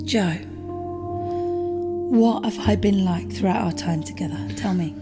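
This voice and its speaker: A woman's voice: mid-range voice, unhurried, over loud music, lightly acted. An actress playing a devoted, hurt girlfriend in an audio drama, speaking emotionally, pleading and indignant. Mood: tense, pleading. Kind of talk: dramatic audio play dialogue.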